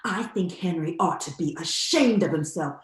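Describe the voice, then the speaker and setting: Woman acting indignant middle-aged sister, gossipy questioning, old-time radio drama, animated pitch, roomy acoustics. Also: normal speed, lightly acted.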